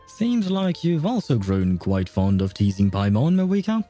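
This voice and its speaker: Mellow, chatty male game streamer. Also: mid-range voice, very animated, normal speed, soft background music. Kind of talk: casual livestream commentary, reading game dialogue aloud.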